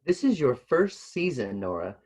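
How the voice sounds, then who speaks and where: A man's voice: mid-range voice, very animated, normal speed, a little mellow, husky. Man reading as a patient seamstress mother. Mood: patient, encouraging.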